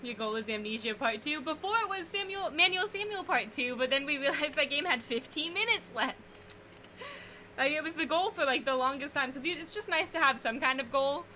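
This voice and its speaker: A woman's voice: sits high, lively intonation, brisk, pushed and bright. A woman streamer chatting casually and laughing often while playing a game, swearing now and then. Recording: noisy home stream recording.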